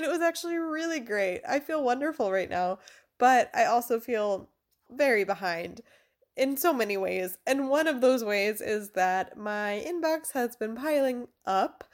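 A woman in her twenties with a fairly high voice speaks as a podcast host. Her delivery is chatty and informal, with a self-deprecating streak. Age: 20s. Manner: informal, self-deprecating, given to giggling asides. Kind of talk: chatty podcast intro and interviewing.

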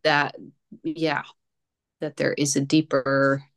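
Slow, low-voiced Dutch woman, searching for words. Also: little pitch movement. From Netherlands. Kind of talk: reflective casual conversation.

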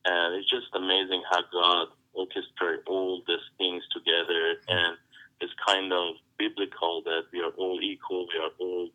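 A man from Iran with an Iranian accent, Farsi his first language, speaking as a pastor in an interview, halting and searching for words. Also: deep voice, level tone, narrow, tinny audio. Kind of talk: interview answers.

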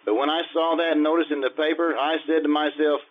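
An actor playing a shy, earnest male teacher with a halting, polite manner in an old-time radio comedy. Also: a little high, fairly even intonation, close to an everyday voice. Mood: bashful, earnest. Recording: tinny, phone-like old radio-style sound.

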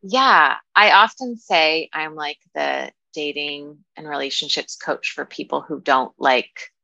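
A woman's voice: low-pitched, unhurried, vocal fry, pushed and bright, dry recording, lo-fi sound. A woman in her thirties speaking as a coach in a casual interview, with an informal, wry, self-deprecating manner. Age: 30s. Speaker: guest, dating and relationship coach.

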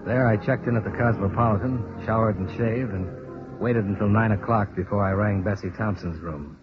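An actor with an American accent playing a tough, world-weary insurance investigator with a sympathetic side, in clipped 1950s radio detective style. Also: male voice, lowish voice, moderate pace, lightly acted. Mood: matter-of-fact, wry. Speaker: lead actor and narrator. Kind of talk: 1950s radio detective drama acting, first-person narration. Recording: dull vintage radio recording with music.

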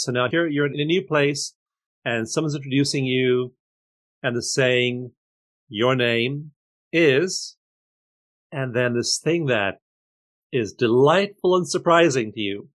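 Calm older man leading reflection. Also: medium pitch, low-quality recording. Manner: calm, inviting listeners to pause. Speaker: host. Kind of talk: guided reflection.

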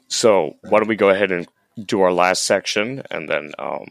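A man's voice: deep voice, animated, unhurried, vocal fry, fairly bright tone, dry recording. A man co-hosting a casual Bible study podcast, explaining in meandering, stop-start sentences. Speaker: podcast co-host.

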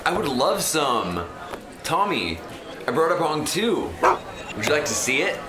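A man's voice: a little high, very animated, measured pace, noisy background. Man acting cheerful stoner guy, chatty, very varied intonation, roomy echo, radio comedy. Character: Jeff, cheerful eager man, flirty stoner. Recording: roomy echo under loud music.

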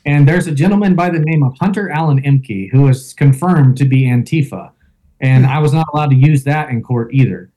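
A man with a loud voice, a guest in an interview, telling a first-person account with fillers like "um" and "you know". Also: medium pitch, fairly quick, low-quality recording. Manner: storytelling with fillers and reenacted quotes.

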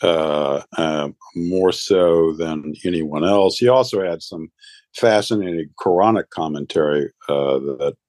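Deep-voiced hesitant man. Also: a little slow, a little mellow, dry recording. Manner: hesitant, many fillers. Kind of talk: panel discussion.

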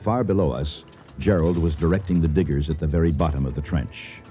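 A man's voice: a bass voice, a little fast, lo-fi sound, soft background music. A man playing a kindly, trusting older archaeologist and father in a radio drama, articulate and reassuring. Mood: narrating calmly. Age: middle-aged or older. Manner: reassuring, gently dismissive, articulate. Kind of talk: radio drama acting with first-person narration.

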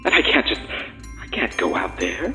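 An actor playing a lonely, gently menacing creature in an audio drama, hushing and confiding in a halting way. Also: low voice, very animated, faint music behind, heavily acted. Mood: pained, pleading.

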